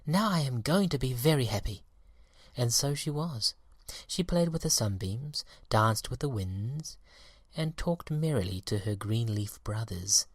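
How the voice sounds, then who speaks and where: A man's voice: medium pitch, no room sound. Man narrating a children's story, dry sound. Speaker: audiobook reader.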